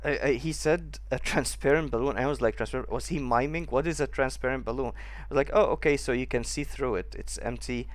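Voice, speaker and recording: A man's voice: mid-range voice, fairly quick, hardly any pauses. A man giving casual playthrough commentary as a gaming streamer, rambling and incredulous.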